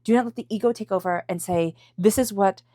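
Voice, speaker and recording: A woman's voice: mid-range voice, fairly quick, warm tone, no echo, studio quality. A woman with a stuffy, congested voice from a cold, giving an intuitive tarot reading to viewers and repeating phrases for emphasis. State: has a head cold. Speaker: tarot reader.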